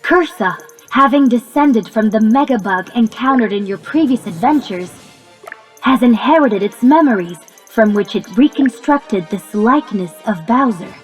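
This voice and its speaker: Game AI companion, played by a woman: high female voice, precise and measured, earnest, long reverb with music behind. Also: animated, natural voice. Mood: explanatory. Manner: formal, precise, measured phrasing. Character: calm, analytical female robot AI companion, earnest and encouraging. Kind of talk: scripted video game cutscene acting.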